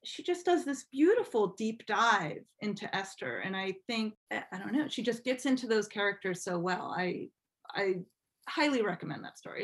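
A woman chatting casually as an interview guest, thinking aloud in an informal, halting way. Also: medium pitch. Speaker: podcast guest, fan fiction writer.

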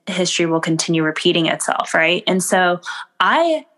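A woman's voice: on the low side, expressive, normal speed, fairly bright tone. Chatty woman, lots of fillers. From United States. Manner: chatty, full of "like" and "you know", laughs often. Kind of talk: casual conversation.

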